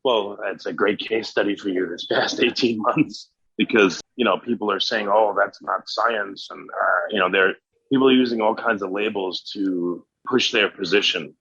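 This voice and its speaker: A man's voice: lowish voice, moderate pace, fairly bright tone, slightly rough, dry recording. A man hosting a podcast, chatting casually and musing aloud with his guest. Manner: conversational, loose and musing. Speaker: podcast host. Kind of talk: casual interview chat. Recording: dry, slightly dull sound.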